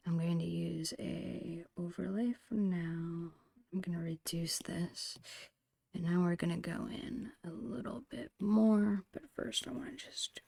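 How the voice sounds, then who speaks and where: A woman's voice: fairly low pitch, a little mellow, dry recording. A woman whispering in an ASMR style, casually thinking aloud in short phrases as she narrates her own digital painting. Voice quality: breathy whisper.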